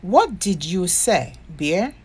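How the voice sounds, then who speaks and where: A woman reader playing a caring storybook woman who gently coaxes and comforts her little one. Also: on the low side, sing-song, slow pace, slightly rough, heavily acted. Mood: concerned.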